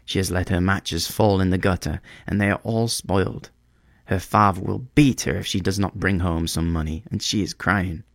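A man voicing a gentle, sorrowful prince statue in a storybook reading, speaking tenderly and pleadingly. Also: low voice, steady tone, moderate pace, creaky, no echo, clean studio sound.

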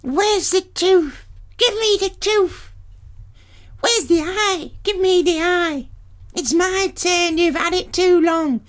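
Shrill squabbling ancient hags. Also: female voice, takes it slowly. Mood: frantic. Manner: shrieking, squabbling, pleading. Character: shrill ancient crone sisters, squabbling and whining.

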